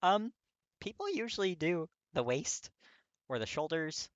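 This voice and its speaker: A man's voice: a little high, big pitch swings, a little slow, dry recording, muffled recording, voice pushed up. A man voicing an earnest, friendly teenage boy in a casual, eager, emphatic way for a story reading. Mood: flustered.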